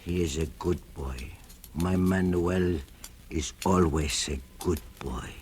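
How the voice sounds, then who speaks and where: An older man, acting a gentle fatherly shoemaker and narrating in an old radio drama, with soft chuckles. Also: sits low, slow, a little hiss or hum.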